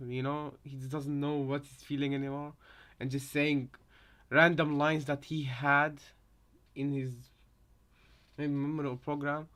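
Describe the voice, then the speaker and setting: A man's voice: mid-range voice, a bit bright. A man giving casual reaction commentary, hesitant and repeating himself as he thinks aloud. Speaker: reactor, fan theorist.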